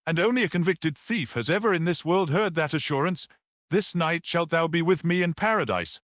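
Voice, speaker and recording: A man voicing a gentle, shrewd priest detective in a story, speaking simply and earnestly with quiet certainty. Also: a little high, moderate pace, no room sound, studio quality, pitched above the performer's own voice, natural voice. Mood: earnest, emphatic.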